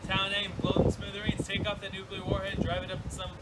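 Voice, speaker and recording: Guy playing a friendly folksy wanderer, kinda high voice, rambles, loud wind all over the mic. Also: monotone, fast, close to an everyday voice. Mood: friendly, musing. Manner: folksy, rambling, colloquial phrasing. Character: friendly, musing male drifter troubled by bad dreams. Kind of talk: amateur scripted student drama. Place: outdoors in wind. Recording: windy outdoor noise, clicks, distant mic.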